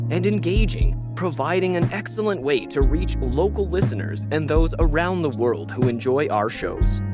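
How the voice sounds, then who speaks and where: A man reading scripted podcast sponsor messages and credits as announcer, over music. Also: on the high side.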